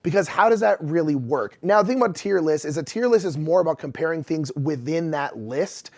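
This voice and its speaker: Man in his 30s, casual chatty host, slightly high voice, a little rough from being sick, indoors. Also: brisk. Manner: chatty and animated, gesturing with hands. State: recovering from illness, voice a bit rough. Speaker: board game channel host. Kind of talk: casual explanatory talk to camera. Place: indoor room in front of game shelves.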